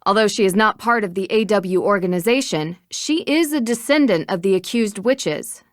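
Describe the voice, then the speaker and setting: A woman playing a poised young female amateur detective in a video game, speaking with an American accent in a measured, articulate, matter-of-fact way. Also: mid-range voice, expressive, no room sound, clean studio sound, close to an everyday voice. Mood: earnest, explaining. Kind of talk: scripted game dialogue.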